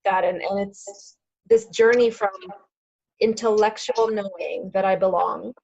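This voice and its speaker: A woman's voice: mid-range voice, steady tone, a little slow, darkish tone, slightly rough, muffled recording. A woman co-hosting a podcast, talking in an earnest, searching way. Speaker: podcast co-host. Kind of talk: casual chat.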